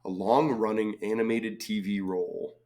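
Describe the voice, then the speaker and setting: A man with a slightly hoarse voice from a cold, casually thinking aloud as he solves a crossword, reading clues and muttering guesses. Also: lowish voice, fairly even intonation, moderate pace, darkish tone. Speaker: crossword solving video host.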